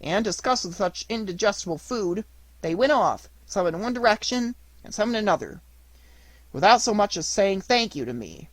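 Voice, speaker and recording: Man voicing a cheeky, high-pitched wooden puppet boy. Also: big pitch swings, moderate pace, fairly bright tone, dry recording, exaggerated voice, rough recording. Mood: gleeful recounting.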